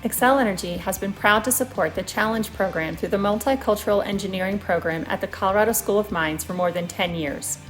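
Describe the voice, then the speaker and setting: A woman's voice: mid-range voice, brisk, hardly any pauses, soft background music, poor audio. A woman delivering a scripted testimonial as a company representative. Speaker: corporate representative.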